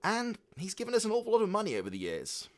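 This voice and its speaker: A man's voice: high-pitched, wildly swooping pitch, quick pace, pitched above the performer's own voice. A man voicing a weak, flustered middle-aged father who stammers and stumbles over his excuses. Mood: sheepish.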